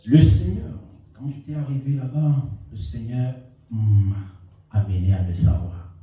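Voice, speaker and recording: A male pastor with a cold, preaching a sermon and telling a story with lively rising and falling emphasis. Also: mid-range voice, a little slow. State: has a cold. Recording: echoey hall, mic at a distance.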